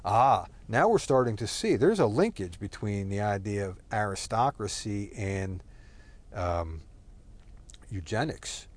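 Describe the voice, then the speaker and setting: A man's voice: lowish voice, lively intonation, measured pace, a little mellow. A man from Texas talking in a rambling, profane monologue full of fillers and digressions. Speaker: solo talker.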